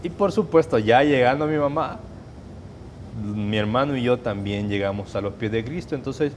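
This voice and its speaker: Salvadoran man giving measured testimony. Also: medium pitch, slight background noise. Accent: Salvadoran Spanish. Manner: measured, hesitant, with restarts and fillers. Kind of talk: personal testimony in an interview.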